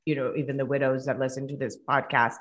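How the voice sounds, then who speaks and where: A woman's voice: extremely low pitch, level tone, fast talker. A middle-aged woman from Colombia with a Colombian Spanish accent, a native Spanish speaker, talking reflectively as a podcast guest. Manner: reflective, with frequent restarts and laughs. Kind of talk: conversational interview.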